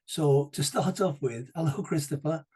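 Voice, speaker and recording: A male interview host with a husky, warm, dark-toned voice. He talks haltingly, repeating words and clearing his throat as he goes. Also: on the high side. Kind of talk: conversational interviewing.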